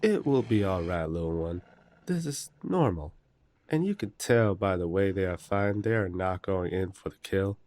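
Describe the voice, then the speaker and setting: A man playing a courteous, protective eldest werewolf brother in an audio roleplay, gently authoritative and polite. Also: sits low, expressive, moderate pace. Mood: gentle, reassuring. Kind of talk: roleplay audio drama acting.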